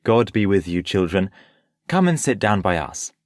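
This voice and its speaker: An actor playing a worldly, unruffled Parisian nobleman in an audio play, urbane and gently patronising. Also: sits low, big pitch swings, moderate pace, no echo, studio quality.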